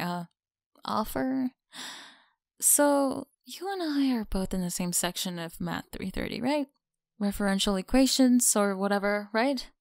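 A woman's voice: mid-range voice, gravelly, no echo, studio quality, close to an everyday voice. A young woman with an American accent playing a mean, teasing college bully in an ASMR audio roleplay, mocking and slangy. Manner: mocking, sighing, casual slangy talk. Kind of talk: ASMR audio roleplay, one-sided dialogue.

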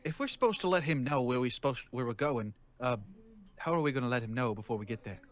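Man as fast-talking, money-minded survivor. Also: medium pitch, lively intonation, lightly acted. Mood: puzzled. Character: money-minded male survivor out for a quick buck. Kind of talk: improvised Minecraft zombie roleplay, casual chat.

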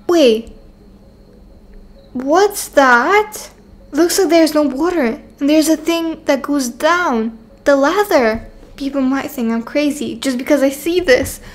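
A woman voicing an excitable, self-assured young girl in a game roleplay, chatting and thinking aloud dramatically. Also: high voice, slow pace. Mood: startled curiosity. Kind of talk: voiced video game roleplay.

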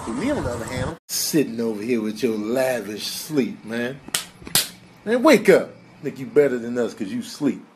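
A man voicing a boastful, loyal, needy dog with a quiet, reasoning, slangy manner in a comic voice-over. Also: mid-range voice, very animated, moderate pace, noisy background, pitched below the performer's own voice, close to an everyday voice. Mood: smug, persuasive.

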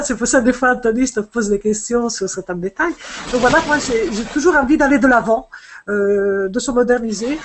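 A woman's voice: fairly high pitch, a little hiss or hum. A middle-aged woman whose first language is Italian, a fashion designer chatting on a video call from home, hesitant with many fillers and restarts. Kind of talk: casual video call chat. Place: home room, indoors. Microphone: earphone headset on video call.

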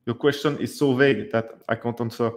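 A man's voice: mid-range voice, fairly even intonation, brisk. A man in his thirties hosting a live webcam Q&A, talking casually with many fillers and restarts, recorded in a dim indoor room. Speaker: livestream host answering viewer questions. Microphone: headset or desk mic, webcam framing.

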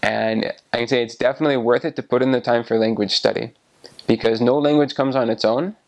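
A man in his twenties talking casually to the camera about his missionary experience, relaxed and often restarting his sentences, recorded on a lapel mic in a small room. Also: medium pitch, brisk, vocal fry. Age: 20s. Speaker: returned missionary. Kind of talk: casual explanatory talk to camera. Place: small indoor room by a window.

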